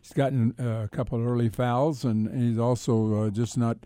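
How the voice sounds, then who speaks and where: A man giving casual sports commentary as a radio co-host, halting and repeating words as he goes. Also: medium pitch, steady tone, warm tone, no room sound, studio quality. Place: radio studio.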